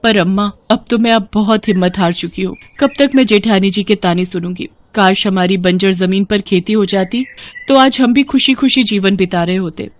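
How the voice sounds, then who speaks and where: A woman's voice: medium pitch, normal speed, lightly acted. A woman voicing a poor, kind village wife in a moral storybook tale, with an earnest, humble manner. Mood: weary. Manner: meek, earnest.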